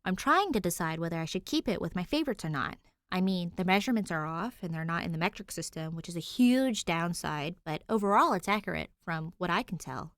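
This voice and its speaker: A woman acts out a sassy girl from an audiobook-style story, blunt and opinionated. She slips between deadpan remarks and animated, nerdy explanation, recorded close and dry. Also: on the low side, a little fast, no room sound. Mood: enthusiastic. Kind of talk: acted dialogue in fan fiction reading.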